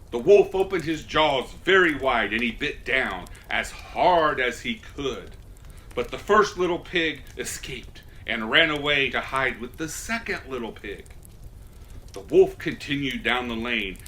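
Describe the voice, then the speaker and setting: A man's voice: medium pitch, sharp tone, slight background noise. A man reading a children's story as Santa, in a folksy, theatrical way.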